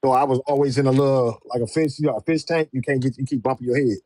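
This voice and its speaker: A man's voice: medium pitch, steady tone, quick pace, mellow, dry recording. Casual male show host, dry close sound. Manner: informal slangy talk. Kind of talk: casual live interview chat.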